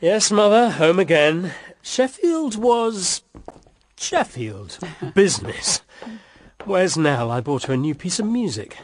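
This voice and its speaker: A man's voice: high voice, sing-song, takes it slowly, a little hiss or hum, full character voice. An actor with a British accent playing a dutiful, morally rigid family man in a period radio drama, hearty and formal. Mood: brisk.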